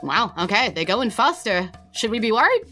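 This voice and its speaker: A woman's voice: fairly high pitch, big pitch swings, normal speed, pushed and bright, soft background music, voice pushed up, heavily acted. A woman with a New Zealand accent voicing a chatty, blunt male prison guard in a tabletop game. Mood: puzzled.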